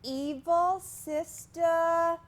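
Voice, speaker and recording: An actress playing a chatty, excitable housewife in a staged reading of a period comedy play. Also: female voice, very high-pitched, drawn-out words, heavily acted. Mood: gushing, excited.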